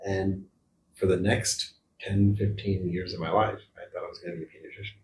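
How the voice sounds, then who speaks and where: A man with a very deep voice, born in India and raised in the United States, chats easily in an interview. He rambles through memories with small fillers, and a faint room hum sits beneath him. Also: level tone, brisk, rough recording. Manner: easygoing storyteller with fillers. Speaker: guest surgeon. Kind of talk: casual interview chat.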